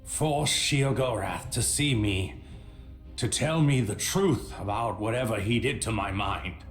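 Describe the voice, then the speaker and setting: A man's voice: lowish voice, a little slow, natural voice. An actor playing an unhinged adventurer who has lost his memory, rambling erratically in a theatrical video game style. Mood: grim resolve. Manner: erratic, rambling, talking to himself. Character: unhinged, amnesiac male adventurer losing his mind to madness. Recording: echoey, faint music behind.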